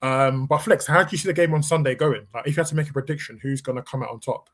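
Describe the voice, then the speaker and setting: A man's voice: medium pitch, quick pace, fairly bright tone. A man hosting a football podcast, chatting casually with a panel.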